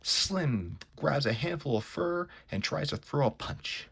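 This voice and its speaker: A man's voice: a little high, animated, unhurried, vocal fry, a bit bright, husky. A man reading a comic book aloud as an amateur narrator, in a dramatic storytelling style.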